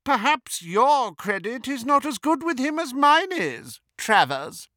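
A man voicing a haughty, contemptuous witch in an audiobook, shrill and cold, with a commanding manner. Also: sing-song, a little slow, sharp tone, dry recording, clean studio sound, heavily acted. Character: haughty young witch imitating an imperious, contemptuous dark villainess. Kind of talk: audiobook character voice.

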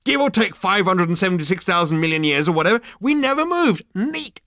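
A man voicing a cocky, excitable alien hipster in an audiobook, brash and slangy with indignant exclamations. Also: medium pitch, brisk, no room sound, clean studio sound, voice pushed up. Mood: triumphant.

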